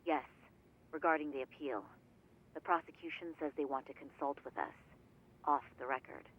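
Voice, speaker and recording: A man voicing a polite game lawyer who speaks in a formal, courteous way at a fairly high pitch. His voice comes through muffled and dull, like a phone call. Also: fairly even intonation, moderate pace, natural voice.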